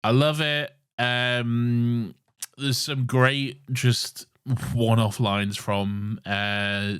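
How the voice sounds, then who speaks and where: A man's voice: medium pitch, steady tone, extremely slow, a bit bright, clear, resonant tone, dry recording, clean studio sound. A man in his thirties co-hosting a podcast in casual chat, joking, laughing and swearing playfully. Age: 30s. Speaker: podcast co-host.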